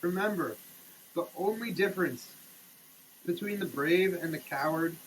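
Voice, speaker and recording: A man giving a theatrical, declamatory dramatic reading, swinging between hushed delivery and shouting, as a comic performance. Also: sits high, unhurried, slight background noise, low-quality recording. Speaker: sketch comedy performer. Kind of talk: dramatic reading of a product label. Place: shower.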